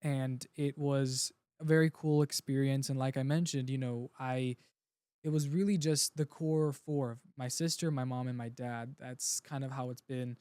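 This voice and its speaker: A man's voice: mid-range voice, steady tone, normal speed, mellow, clear, resonant tone, no room sound. A young man from Puerto Rico, a native Spanish speaker, talking earnestly and from the heart as an interview guest, often restarting his sentences. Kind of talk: casual interview conversation.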